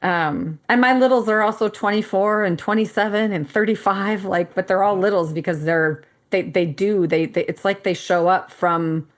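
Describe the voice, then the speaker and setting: A woman's voice: medium pitch, moderate pace, hardly any pauses. A woman in her fifties, a podcast co-host, talking candidly and animatedly with frequent laughs and casual swearing. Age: 50s. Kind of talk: casual conversation.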